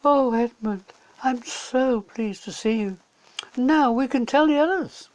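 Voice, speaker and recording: A man's voice: very high-pitched, a little slow, pitched above the performer's own voice, low-quality recording. A man voicing an eager, earnest young girl in a children's storybook. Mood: delighted.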